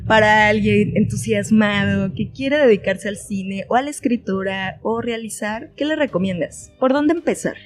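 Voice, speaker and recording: A woman's voice: medium pitch, normal speed, hardly any pauses, faint music behind. A woman with a Mexican accent hosting a podcast, interviewing in casual conversation. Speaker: podcast host.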